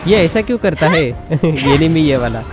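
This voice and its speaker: A man's voice: a little high, lively intonation, very fast. A man chatting casually as he plays a game, reacting aloud in an amused, informal way. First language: Hindi. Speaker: gaming channel host. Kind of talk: casual gameplay commentary. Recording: commentary mixed over game music.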